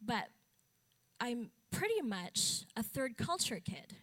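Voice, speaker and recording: A woman's voice: a little high, sing-song, takes it slowly, fairly bright tone. Candid woman speaking on stage. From Philippines. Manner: candid and matter-of-fact, with wry humor. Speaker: public speaker and advocate. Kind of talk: conference talk. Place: stage at a talk event.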